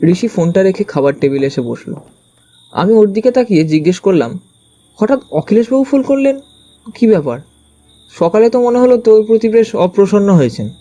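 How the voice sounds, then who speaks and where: A man reading a first-person scripted story as its narrator, with expressive storytelling delivery. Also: sits high, fairly quick. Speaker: narrator and detective's companion.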